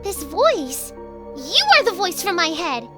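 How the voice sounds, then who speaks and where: A woman's voice: extremely high pitch, big pitch swings, a little slow, loud music behind the voice, heavily acted. A woman voicing a bewildered young female pony in a cartoon comic dub, childlike and plaintive.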